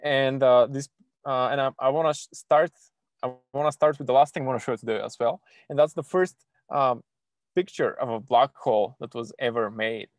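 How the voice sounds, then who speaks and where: Halting young man explaining science. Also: medium pitch, no echo, clean studio sound. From Bulgaria. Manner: halting, many restarts and fillers, eager. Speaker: astrophysics PhD student giving an online talk. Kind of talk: informal online science lesson for kids.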